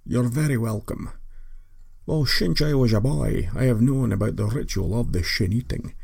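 A man voicing a smug village sin eater who speaks in a husky Sean Connery-style Scottish accent. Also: medium pitch, moderate pace, a little mellow. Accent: Scottish, a Sean Connery-style impression. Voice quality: gravelly, husky. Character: gravelly middle-aged male village sin eater, smug. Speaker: interviewee. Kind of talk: comic audio fiction interview.

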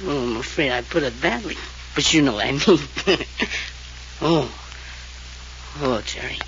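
A man's voice: medium pitch, lively intonation, a little slow, fairly bright tone. An actor playing a drunken, sardonic man of the house, drawling and chuckling in a 1940s radio drama, on a dull old recording. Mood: tipsy mocking. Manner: tipsy, drawling, chuckling mockery. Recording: dull lo-fi old radio transcription with faint hiss.

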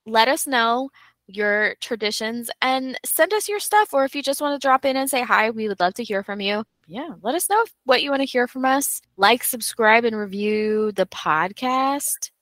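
A woman's voice: on the high side, lively intonation, moderate pace, a bit bright, no room sound. A young woman co-hosting a podcast in casual chat, playful and upbeat, laughing often. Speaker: podcast co-host.

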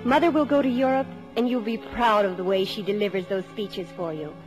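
An actress playing a sincere, devoted teenage daughter who also narrates a 1950s radio drama, with a wistful manner. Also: female voice, mid-range voice, brisk, over music, lightly acted. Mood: proud, wistful.

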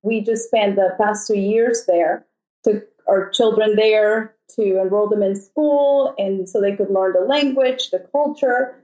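A woman's voice: mid-range voice, unhurried, mellow. A middle-aged woman from El Salvador, a podcast guest telling stories in a chatty, conversational way. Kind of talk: casual conversation. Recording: echoey room.

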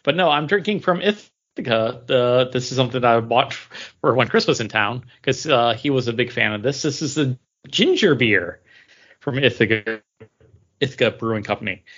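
A man's voice: medium pitch, expressive, normal speed, fairly bright tone. A man hosting a podcast in casual conversation, recorded with dull lo-fi sound. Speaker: podcast host. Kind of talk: casual chat.

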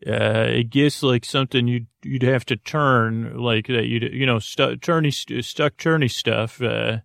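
A man with a creaky voice hosting a bedtime podcast, musing aloud in a hesitant, meandering way and circling back on words. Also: mid-range voice, steady tone, a little slow, a bit bright, dry recording, clean studio sound. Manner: musing, asks themselves questions. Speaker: podcast host.

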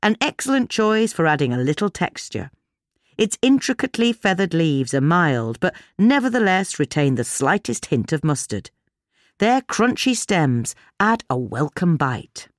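A woman's voice: fairly low pitch, sing-song, measured pace, no echo, clean studio sound. A woman with a British accent reading a scripted article as a narrator.

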